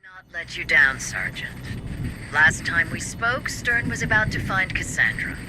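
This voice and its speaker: A woman's voice: lowish voice, animated, unhurried, background noise. A woman playing a controlled, authoritative company director in a video game cutscene, formal and assured.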